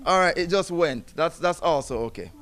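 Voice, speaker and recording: A high-voiced man with a Jamaican accent addresses an awards ceremony. He talks in a loose, friendly way, stumbling into restarts and asides. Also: animated, moderate pace. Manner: chatty, warm, breaks into song, restarts often. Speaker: guest speaker, executive director. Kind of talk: public speech at a ceremony.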